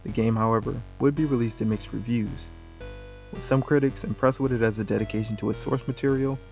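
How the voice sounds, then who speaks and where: A man's voice: mid-range voice, steady tone, brisk, vocal fry, a little mellow. Male documentary narrator, mid-range voice, scripted video-essay read, light music underneath.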